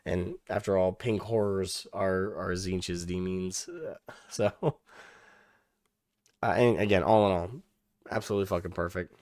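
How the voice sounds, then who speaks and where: A young man hosting a reaction video, losing his voice and hoarse, rambling casually with many restarts and the odd swear. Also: deep voice, steady tone, unhurried, long pauses, a little mellow, no room sound, clean studio sound.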